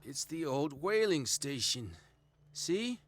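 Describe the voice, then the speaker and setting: An actor playing a dazed, injured island man in a video game, muttering and rambling in a halting, confused way. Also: on the high side, very animated, exaggerated voice. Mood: dazed, evasive. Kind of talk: video game cutscene acting.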